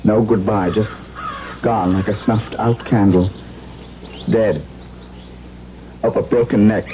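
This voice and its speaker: An actor playing a grief-stricken, defiant widowed baron with intense delivery in a 1970s radio drama. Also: male voice, on the low side, steady tone, takes it slowly, noisy background. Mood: mournful recollection. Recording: dull 1970s radio broadcast.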